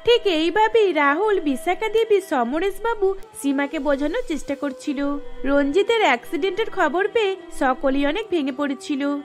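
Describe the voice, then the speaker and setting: Brief: woman narrator, very high voice, even scripted storybook reading. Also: normal speed, soft background music.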